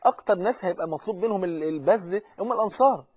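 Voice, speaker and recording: A man with an Egyptian accent preaches a religious lesson at a very rapid clip, his voice fairly high and husky. He speaks with fervent urgency, pressing the listener directly and often repeating or restarting phrases. The recording is dry and close. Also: a little mellow, no room sound. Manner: frequent repetitions and restarts.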